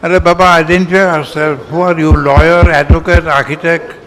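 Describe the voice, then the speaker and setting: A man chairing a question session, brisk and bossy with the audience. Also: a little high, little pitch movement, a bit bright. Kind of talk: moderating a Q&A.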